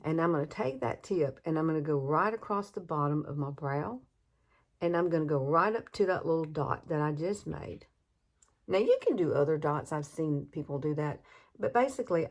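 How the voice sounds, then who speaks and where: A woman's voice: low-pitched, creaky, a little mellow. A woman in her sixties with a Southern US accent, chatting folksily through a makeup tutorial, filmed on a phone in her bedroom. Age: 60s. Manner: chatty, folksy, laughs often. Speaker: beauty vlogger. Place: bedroom at home. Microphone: phone camera, no external mic.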